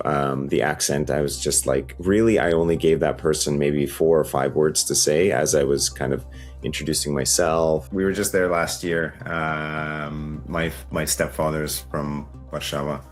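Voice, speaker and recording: A man's voice: extremely low pitch, soft background music. A man from Canada, an agency founder, casually recounting a hiring story as an interview guest, with lots of fillers. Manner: quick, relaxed, many fillers.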